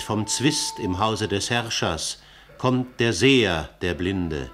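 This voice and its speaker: A man narrating a radio drama in formal, measured, scripted verse. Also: medium pitch, a bit bright.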